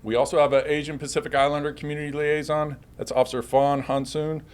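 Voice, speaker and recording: A man's voice: on the high side, flat delivery, fast, smooth. A man speaking as a police chief at a public briefing with slides, often repeating and correcting himself as he goes.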